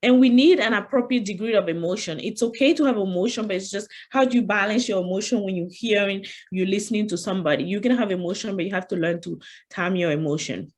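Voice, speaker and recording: A woman's voice: medium pitch, fast talker, a little mellow. A woman with a French accent presenting an interactive online leadership workshop, speaking conversationally and often saying "you know", standing at her computer on a video call.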